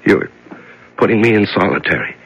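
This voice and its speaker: A man's voice: on the low side, big pitch swings, brisk, gravelly, highs cut off, slight background noise. An actor in a 1970s radio drama playing a frightened, bewildered male prisoner who stammers, pleads and protests. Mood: anxious, wary.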